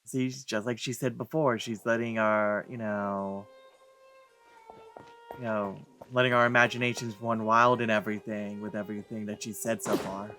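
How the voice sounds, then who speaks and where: A man's voice: lowish voice, steady tone, normal speed, bright, forceful tone. A man hosting a let's play, giving casual commentary in rambling, informal asides. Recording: game music behind.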